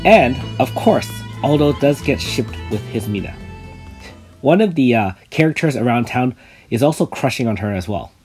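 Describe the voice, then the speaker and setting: A man with a slightly raspy voice from illness, chatting casually over game footage as a YouTube host, with many fillers. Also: mid-range voice, lively intonation, moderate pace, a little mellow, faint music behind. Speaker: gaming YouTuber.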